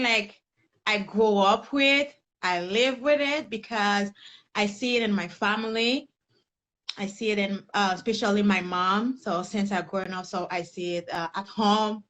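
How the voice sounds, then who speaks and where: A woman's voice: medium pitch, fairly bright tone. A young adult woman from Haiti with a Haitian accent, speaking earnestly as an interview guest in a conversational chat. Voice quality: non-native English grammar, earnest. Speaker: guest, personal development coach.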